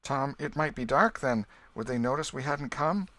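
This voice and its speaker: A man's voice: medium pitch, lively intonation, moderate pace, a bit bright, no room sound, pitched above the performer's own voice, natural voice. A man reading an audiobook, voicing a frightened, weary, sorrowful young girl with a plaintive, faltering delivery. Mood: anxious. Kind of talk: audiobook character voice.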